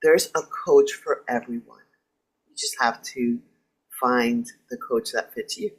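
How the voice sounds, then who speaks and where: A woman's voice: slightly rough, poor audio. A woman whose first language is Spanish, chatting casually and playfully as a leadership coach. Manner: lively, playful, quick jumps in pitch. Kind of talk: casual chat.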